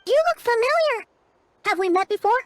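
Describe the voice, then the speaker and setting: A woman voicing a tiny, childlike, perky and blunt fairy companion in a video game, with a squeaky voice and exclamatory delivery. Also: animated, moderate pace. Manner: refers to self in third person, exclamatory. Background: music.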